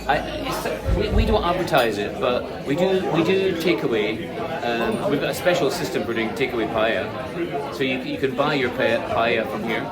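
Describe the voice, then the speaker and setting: A chatty man, a restaurant chef, talks casually while he cooks at a medium pitch. He keeps plugging what the place offers. Loud crowd chatter from a busy restaurant fills the background. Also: lively intonation, normal speed. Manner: chatty, promotional. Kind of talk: casual chat while cooking.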